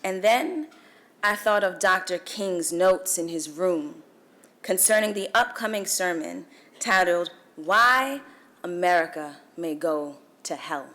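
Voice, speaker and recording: A young woman from Guyana with a Guyanese accent, delivering a formal speech at a celebration breakfast in measured, emphatic oratory with dramatic pauses. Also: on the low side, lively intonation, sharp tone. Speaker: featured speaker. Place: event hall.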